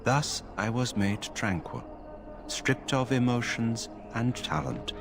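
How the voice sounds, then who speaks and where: A man playing an emotionless mage in a fantasy game, speaking in a formal, detached, measured manner. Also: medium pitch, creaky. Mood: detached calm. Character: emotionless branded male mage, calm enchanter stripped of feeling. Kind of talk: video game dialogue. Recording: game music loud behind the voice.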